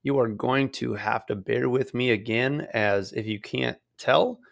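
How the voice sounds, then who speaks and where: A man hosting a solo real estate podcast in a casual, rambling way with many fillers, his voice hoarse as it recovers. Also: mid-range voice, measured pace. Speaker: podcast host.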